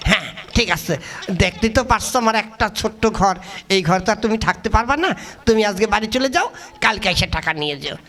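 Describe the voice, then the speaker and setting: Man acting a gravelly, scheming cheat of a husband. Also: high-pitched, animated, fast, bright, forceful tone, heavily acted. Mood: sly. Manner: colloquial, blustering, theatrical. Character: scheming, greedy, foul-mouthed husband puppet, a small-time cheat. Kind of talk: comic folk puppet-play acting.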